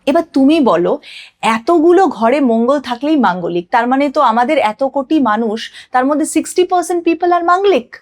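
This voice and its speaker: A woman's voice: sits high, animated, normal speed. A woman explaining like an astrologer on a podcast, emphatically asking and answering her own questions and mixing in English phrases.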